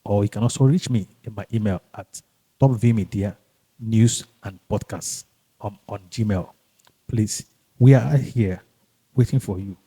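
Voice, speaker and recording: Husky-voiced male podcast host. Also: mid-range voice, warm tone, poor audio. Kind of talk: casual podcast introduction and interview chat.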